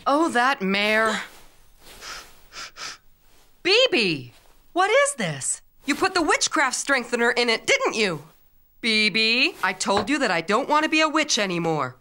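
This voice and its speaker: A woman's voice: a little high, very animated, unhurried, long pauses, sharp tone, exaggerated voice. A woman playing a firm, caring mother in her thirties in a cartoon, earnest and emphatic. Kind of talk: animated cartoon voice acting, English dub.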